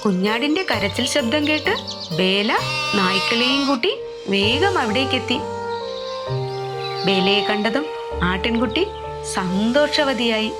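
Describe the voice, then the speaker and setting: A woman storyteller narrating a scripted children's tale in an expressive, dramatic way. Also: fairly high pitch, slow, long pauses, loud music behind the voice.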